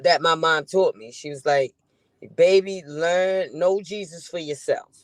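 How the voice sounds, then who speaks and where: Relaxed woman comedian, dry close sound. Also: low-pitched, a little slow, no room sound. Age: 40s. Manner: laid-back, playful, trailing off. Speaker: comedian guest. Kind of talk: casual chat.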